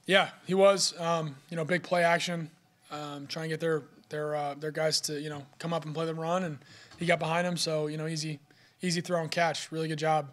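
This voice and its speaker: Young man, fast, flat delivery, postgame podium, filler-heavy. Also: fairly high pitch. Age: young adult. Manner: steady, fast, filler-heavy with "you know". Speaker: college quarterback. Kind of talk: postgame press conference answers. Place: press room in front of a sponsor backdrop. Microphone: podium mic.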